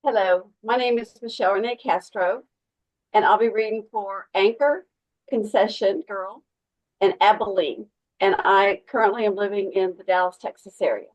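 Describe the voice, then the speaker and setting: A woman's voice: mid-range voice, normal speed, no room sound. A woman actor introducing herself to a group over a video call, with slightly dull sound. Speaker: actor in a table read. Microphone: computer mic on a video call.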